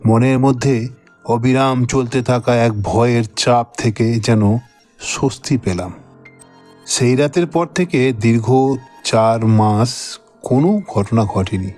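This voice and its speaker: A man narrating a scripted horror story in the first person, in a measured, dramatic manner. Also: medium pitch, a little mellow.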